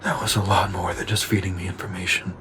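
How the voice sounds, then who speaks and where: A low male voice with little pitch movement acted by a man, a weary soldier in a science-fiction audio drama. He is guarded and terse, speaking in a subdued way. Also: level tone, quick pace, background noise.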